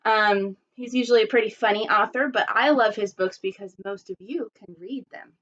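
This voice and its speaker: A woman teacher reading a children's story aloud expressively and pausing to put questions to her listeners. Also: mid-range voice, crowd noise. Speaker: primary school teacher. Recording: dull lo-fi recording.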